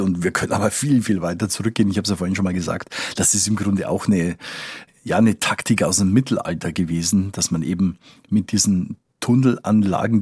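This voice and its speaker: Male foreign correspondent, podcast interviewee. Deep-leaning, rough-edged voice, conversational and explanatory with halting restarts. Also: darkish tone, no room sound, studio quality. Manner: explanatory, hesitant with repeated word starts.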